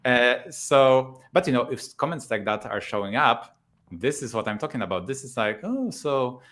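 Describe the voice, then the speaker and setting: A man's voice: medium pitch, normal speed. A man in his thirties from Poland with a Polish accent, a YouTuber talking casually as a guest and laughing often. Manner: relaxed, laughs often, many fillers. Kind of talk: casual interview talk.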